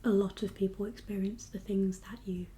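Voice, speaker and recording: A woman speaking in a soft, breathy whisper with a calm, reassuring, unhurried manner, as a doctor in an ASMR medical roleplay. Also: medium pitch, a little hiss or hum. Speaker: ASMR performer playing a doctor.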